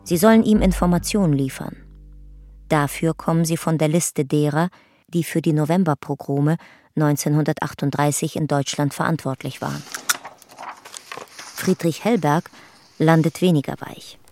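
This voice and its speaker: A woman's voice: low voice, steady tone, normal speed, darkish tone, faint music behind. A woman narrating a documentary-style radio drama in a factual, composed manner.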